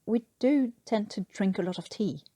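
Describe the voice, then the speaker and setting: A woman's voice: medium pitch, normal speed, mellow, husky, no room sound, clean studio sound, lightly acted. Woman as a hesitant, earnest young English private. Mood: flustered, earnest. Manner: hesitant, explanatory.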